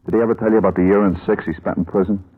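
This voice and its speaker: A man's voice: low voice, steady tone, very fast, slightly rough. An American actor playing a weary, blunt working-class family man in a 1950s radio drama, plain-spoken and colloquial. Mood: grim. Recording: muffled, lo-fi old radio recording.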